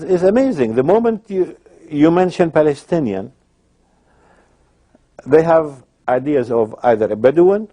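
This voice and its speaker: Very mellow-voiced man, emphatic. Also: medium pitch. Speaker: interviewee telling his life story. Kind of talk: personal testimony.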